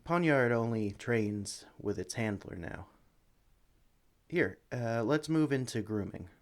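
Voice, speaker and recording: Man playing an excitable, lively-pitched sanctuary employee. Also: slow pace. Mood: instructive, friendly. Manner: chatty, eager, apologetic, with hesitations. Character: excitable, friendly young male sanctuary employee training a newcomer. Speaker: experienced employee showing a new recruit around. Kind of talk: ASMR roleplay, one-sided conversation with the listener.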